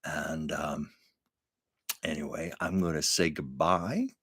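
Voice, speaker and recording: A man's voice: a bass voice, fairly even intonation, takes it slowly, a bit bright, slightly rough, no echo, studio quality. A man hosting his own livestream from home, chatting casually with viewers and laughing often. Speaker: livestream host. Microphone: camera on a livestream setup.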